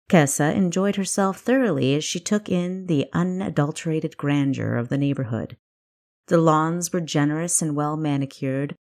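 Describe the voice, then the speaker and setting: A woman's voice: deep voice, darkish tone. A woman reading scripted story narration as the narrator of an audiobook. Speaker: author narrating own audiobook.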